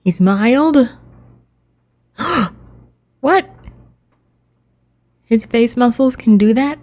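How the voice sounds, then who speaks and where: A woman streams a game and chats casually with viewers. Her voice is dark and mellow, and she speaks slowly, often letting thoughts trail off. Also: a little high, lively intonation. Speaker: live streamer.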